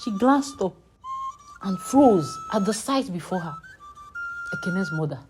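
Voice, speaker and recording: A woman's voice: mid-range voice, sing-song, unhurried, music in the background. A woman storyteller reading a scripted folktale in a dramatic narrating style.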